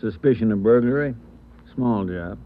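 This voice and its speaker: A man's voice: mid-range voice, moderate pace, close to an everyday voice. An American man playing a plainspoken, helpful station policeman in a 1940s radio drama, casual and clipped. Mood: matter-of-fact. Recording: muffled 1940s radio recording, faint noise.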